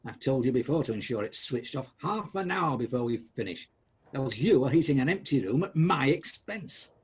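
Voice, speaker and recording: An actor playing a miserly, bad-tempered old businessman in a comic radio drama, husky, sarcastic and blustering. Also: mid-range voice, animated, normal speed. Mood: irritable nagging.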